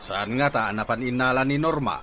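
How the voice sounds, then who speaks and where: A man's voice: medium pitch, a little fast, pushed and bright, close to an everyday voice. Questioning Ilocano father, played by an actor. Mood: doubtful. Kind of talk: Filipino radio drama acting. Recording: clearly noisy ambience behind.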